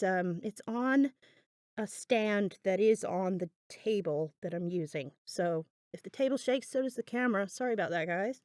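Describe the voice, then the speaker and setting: Woman doing a chatty craft tutorial voiceover, kinda thinking out loud, medium pitch, really dry close recording. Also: no room sound. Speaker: craft channel host.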